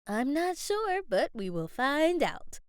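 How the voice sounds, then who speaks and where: A woman voicing a kind, calm young mother who speaks softly and comfortingly in a storybook reading. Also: sits high, slow, dry recording, clean studio sound, heavily acted. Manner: soft and comforting.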